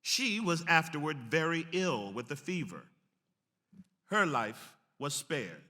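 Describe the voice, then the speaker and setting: A man's voice: on the high side, expressive, slow pace, pushed and bright. A man giving a formal, emphatic dramatic reading of a historic account. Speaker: actor reading aloud. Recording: long hall reverb.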